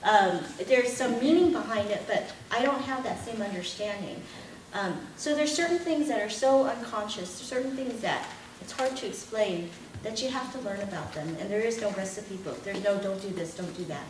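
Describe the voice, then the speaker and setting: A middle-aged woman giving a lively workshop talk, full of anecdotes and laughter, moving about as she speaks in a classroom. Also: medium pitch, moderate pace. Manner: animated, gesturing, laughing often. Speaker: workshop presenter. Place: classroom with projector screen. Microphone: far camera, no visible mic. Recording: echoey room from far off, noisy.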